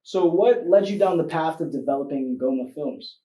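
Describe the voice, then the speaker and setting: A man's voice: a little high, animated, moderate pace, dark tone, reverberant, low-quality recording. A man hosting a talk show, asking short questions and giving brief affirmations. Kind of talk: interview hosting.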